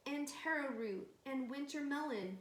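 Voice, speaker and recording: Storybook villagers, played by a woman: eager, generous, emphatic, high-pitched and unhurried, with room echo. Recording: echoey room with faint background noise.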